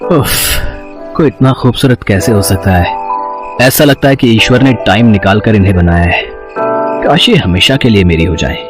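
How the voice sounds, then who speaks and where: A man playing a lovestruck male college student of twenty-one in an acted story, sly and pleading, with a hesitant, flattering manner. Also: on the low side, moderate pace, over music, close to an everyday voice. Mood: dreamy admiration.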